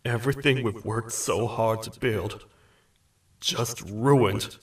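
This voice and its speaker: An actor playing a grim, commanding monster leader in a video game, recorded with echo at a distance. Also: male voice, on the low side, takes it slowly. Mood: grim, resolute. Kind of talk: video game character acting. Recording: echoey, distant from the microphone.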